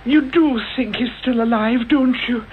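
An actress playing a fretful, devoted housekeeper with an English accent, homely and sentimental, in a 1980s radio drama. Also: female voice, on the high side, unhurried, natural voice. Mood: tearful fretting. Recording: muffled old radio recording, faint hiss.

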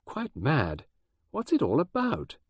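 A man voicing a gentle, vague middle-aged mother who speaks hesitantly. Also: on the high side, huge pitch swings, slow, husky, no room sound, studio quality, voice pushed up, exaggerated voice. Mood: exasperated.

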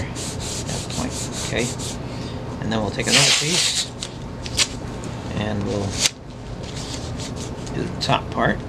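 Gravelly low-voiced man over loud background noise. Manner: unscripted, halting, step-by-step explaining. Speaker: craft instructor demonstrating scroll saw work. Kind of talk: informal hands-on how-to demonstration. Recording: loud ambient hum and workshop noise.

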